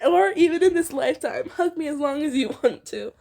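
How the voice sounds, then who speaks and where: Woman acting bubbly girl, high voice, clingy, playful, dramatic story character. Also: lively intonation, fairly quick, creaky, darkish tone. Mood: playfully insistent.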